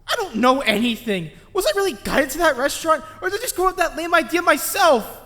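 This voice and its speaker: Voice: high-pitched, sing-song, fast, pushed and bright, no room sound, full character voice. An actor playing an anxious, guilt-ridden teenage boy who rambles and frets in a dramatic comic dub. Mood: anxious, self-doubting. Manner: rambling, fretful self-questioning with muttered asides.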